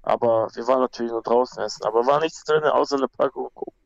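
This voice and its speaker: A man's voice: mid-range voice, fast talker, vocal fry, dry recording, muffled recording. A young man from Peru, a guest talking casually and bluntly, with slang and many restarts. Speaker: guest, MMA fighter. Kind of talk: casual conversation.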